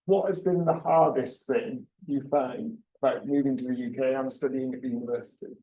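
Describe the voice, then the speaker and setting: A man's voice: medium pitch, steady tone, fairly quick, dark tone, rough recording. A man moderating a student panel, asking questions with "sort of" and short acknowledgements.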